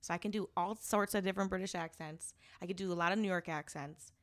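A woman's voice: fairly low pitch, fast talker. A young woman co-hosting a podcast, chatting casually with crude jokes and a lot of laughter. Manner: animated, joking, frequent laughter, crude humor. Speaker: podcast co-host. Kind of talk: casual chat.

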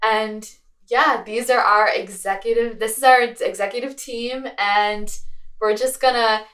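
A woman's voice: on the high side, fairly even intonation, unhurried, fairly bright tone, reverberant, distant mic. A young woman from Delaware co-hosting a student podcast in a casual way, full of likes and fillers. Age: young adult. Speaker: podcast co-host. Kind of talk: casual chat.

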